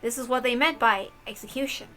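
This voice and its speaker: A woman's voice: on the high side, fairly quick, pushed and bright, faint music behind. A woman voicing a cruel, mocking aristocratic witch lady with theatrical, taunting delivery in a visual novel read-aloud. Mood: cruelly amused.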